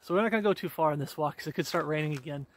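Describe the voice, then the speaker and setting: A man's voice: fairly high pitch, rapid-fire, slight background noise. A man in his forties preaching earnestly while walking outdoors on a wooded road, talking into a camera held at arm's length, repeating himself with many fillers and restarts. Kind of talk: walk-and-talk devotional preaching. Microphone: handheld camera at arm's length.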